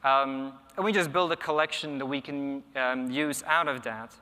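A man's voice: mid-range voice, normal speed, bright, forceful tone. Young man lecturing in a reverberant hall. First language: Polish. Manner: thoughtful, many ums and restarts. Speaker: conference speaker. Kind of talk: technical conference talk. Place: large conference hall, at a lectern. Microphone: lectern microphone.

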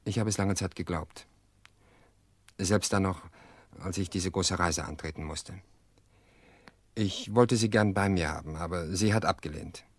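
Radio play: earnest, weary widower, middle-aged. Deep, dry sound, measured delivery. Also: male voice, no echo. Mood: resigned, reflective. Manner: measured, reflective, hesitant pauses. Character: weary, earnest widower confessing to his sister-in-law. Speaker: actor in an audio drama.

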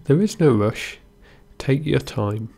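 Slow, creaky-voiced man guiding meditation. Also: medium pitch, animated. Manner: unhurried, gentle instruction with pauses. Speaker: guided meditation instructor. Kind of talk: guided body scan meditation narration.

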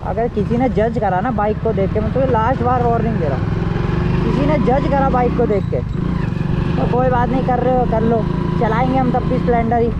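A young man talks casually into a helmet camera while riding a motorcycle outdoors, chatty and teasing his viewers. His voice sits high. The sound is muffled, with loud engine and wind noise behind him. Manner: chatty, joking, addresses viewers as brother. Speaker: motovlogger. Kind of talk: casual motorcycle vlog chat. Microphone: helmet-mounted action camera mic.

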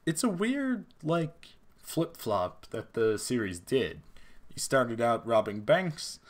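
A man's voice: mid-range voice, very animated, slow pace. A man hosting a podcast in casual chat. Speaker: podcast host.

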